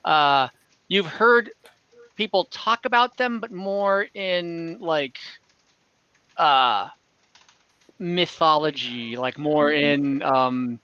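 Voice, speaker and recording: A man with a bright, fairly high voice acts as game master, improvising story details in halting stretches. His tone is smooth and clean, recorded dry and close, though slightly dulled by online call audio. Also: no echo, lo-fi sound. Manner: halting, pausing mid-sentence as he improvises.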